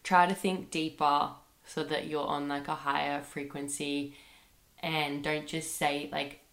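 Woman, low creaky flat voice, head cold, chatty podcast host in an echoey room. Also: measured pace. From Australia. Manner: chatty, rambling, lots of fillers like "like" and "um". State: sick with a bad cold. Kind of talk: casual solo podcast monologue. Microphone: computer microphone.

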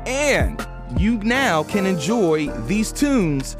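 A man hosting a conversational podcast interview, halting and often repeating words as he goes. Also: sits high, sing-song, over music.

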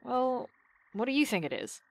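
Sceptical young woman, high voice, swooping intonation, sarcastic, grumbling, teasing, audio drama banter. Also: very animated, normal speed, no echo. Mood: amused, reluctant. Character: wry, reluctant young woman, sarcastic sceptical travel companion.

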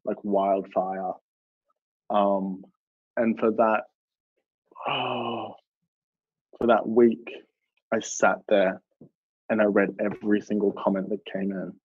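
A young man with an Australian accent speaks earnestly and reflectively, pausing on fillers as he thinks aloud. His voice sits a little low, and the recording sounds dry and slightly muffled. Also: dry recording. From Melbourne, Australia. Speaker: guest, drag performer. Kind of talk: casual podcast interview.

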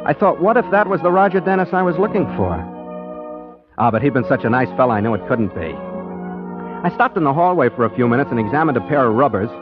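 An actor playing a chipper, guileless, self-satisfied private detective, narrating breezily in 1940s radio drama style. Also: male voice, medium pitch, expressive, fast talker, over music, lightly acted. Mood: musing. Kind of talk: 1940s radio acting, first-person narration. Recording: muffled 1940s radio recording.

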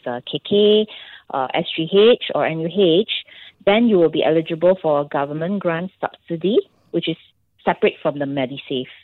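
A woman doctor with a Singaporean English accent explains things in a radio interview, pausing often with ums. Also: low-pitched, no echo. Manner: explanatory, with many ums and pauses. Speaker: fertility doctor guest. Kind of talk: radio interview on a phone line. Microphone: phone. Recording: tinny phone line, dry.

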